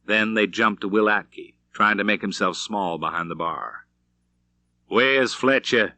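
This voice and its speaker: Guy reading a western audiobook, deepish voice with an edge, measured and dramatic, very dry recording. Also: bright, forceful tone, no room sound. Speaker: audiobook narrator. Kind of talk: dramatic scripted narration of a western novel.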